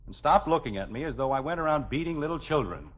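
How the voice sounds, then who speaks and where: A man's voice: fairly high pitch, expressive, quick pace, natural voice. An actor playing a flustered, grumbling middle-aged husband and father in the style of a 1950s radio sitcom, hemming and blustering. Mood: exasperated, defensive. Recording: muffled old 1950s radio broadcast, faint noise.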